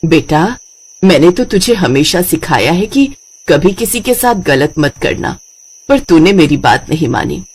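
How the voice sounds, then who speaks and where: Woman acting a gently reproachful mother in a dream. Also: deep voice, big pitch swings, a little slow, slightly rough, voice pushed down, full character voice. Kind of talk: children's cartoon story acting.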